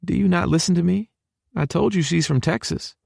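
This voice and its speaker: Man as a young boyfriend, blunt, caring, teasing, creaky voice, audiobook dialogue, dry close sound. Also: sits high, brisk, darkish tone, dry recording, voice pushed up, full character voice.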